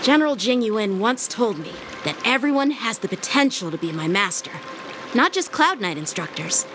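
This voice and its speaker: A woman's voice: medium pitch, natural voice. A woman voicing an earnest, formal young female sword instructor in an anime-style game.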